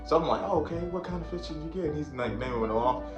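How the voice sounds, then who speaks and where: A man in casual chat with a friend, animated, acting out what people said and laughing often. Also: fairly high pitch, very fast. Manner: animated, acts out quotes, laughs a lot. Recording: roomy sound with faint music.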